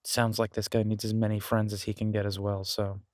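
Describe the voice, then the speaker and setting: Easygoing, bashful werewolf biker played by a male actor, lowish voice, casual hesitant delivery, dry close intimate audio-drama sound. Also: monotone, fairly quick, darkish tone, no room sound, lightly acted. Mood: reassuring, casual. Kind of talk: intimate roleplay audio drama, one-sided conversation.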